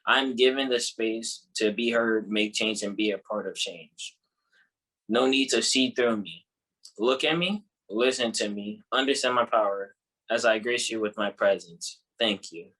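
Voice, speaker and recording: A man's voice: lowish voice, measured pace, bright, forceful tone, poor audio. A young male poet reading his own spoken-word poem aloud. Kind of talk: spoken-word poetry recital.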